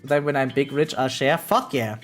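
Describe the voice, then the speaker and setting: An excitable gaming streamer chatting casually and slangily on a livestream, swearing freely. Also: mid-range voice, normal speed. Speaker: variety game streamer. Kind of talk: casual livestream chat about gacha games. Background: background music.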